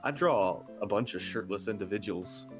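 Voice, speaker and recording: A man's voice: fairly low pitch, normal speed, music in the background. A man in casual livestream banter, a guest who talks in a joking, playfully dramatic way. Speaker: stream guest and artist.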